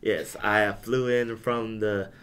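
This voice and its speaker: A young man hosting a casual podcast, hoarse from losing his voice, with laid-back, self-deprecating banter. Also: lowish voice, steady tone, slow pace, fairly bright tone. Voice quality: raspy. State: hoarse, lost voice. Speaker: podcast host.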